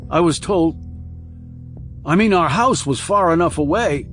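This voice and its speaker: A man's voice: fairly high pitch, animated, measured pace, soft background music, pitched above the performer's own voice. A man voicing a guilty, desperate father in an audiobook thriller, distraught, pleading and defensive.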